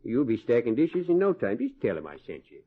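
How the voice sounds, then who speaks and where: An offhand job agent in an old radio play. His mid-pitched voice is husky and varies widely in tone, heard through a thin, tinny vintage recording. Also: huge pitch swings, a little fast. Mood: offhand, relenting. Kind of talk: old-time radio drama acting.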